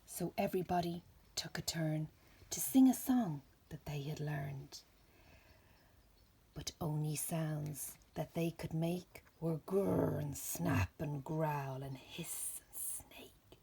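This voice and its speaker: A woman with a husky, breathy voice reading a rhyming children's story aloud in slow, deliberate phrases. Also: low-pitched, lively intonation, warm tone. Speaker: storybook reader.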